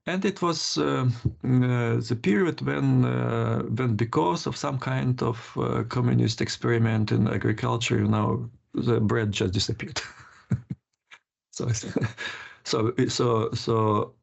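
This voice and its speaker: Older man, interview guest, very gravelly husky voice, halting delivery, muffled call audio. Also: mid-range voice. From Lithuania. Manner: halting, many restarts and laughs. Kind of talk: casual interview.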